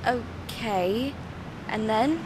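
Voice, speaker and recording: An actress playing an ambitious, chatty woman journalist in an audio drama, expressive and easily flustered. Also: on the high side, slow pace, slight background noise. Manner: expressive, stammers when flustered. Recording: loud city street ambience behind.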